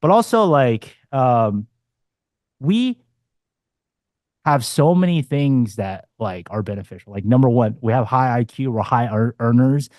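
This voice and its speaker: A man's voice: medium pitch, lively intonation, measured pace, a little mellow, dry recording. Blunt, swearing dating coach in his forties. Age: 40s. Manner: blunt, swearing, punchy asides. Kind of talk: casual panel discussion.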